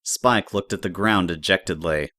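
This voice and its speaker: A woman's voice: extremely low pitch, moderate pace, a bit bright, no room sound, studio quality. A woman narrator reading scripted story prose for an audio drama.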